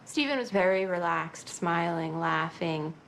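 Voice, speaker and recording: A woman's voice: lowish voice, unhurried, creaky, fairly bright tone, poor audio. A woman talking about her family in a reflective documentary interview. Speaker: family member giving testimony.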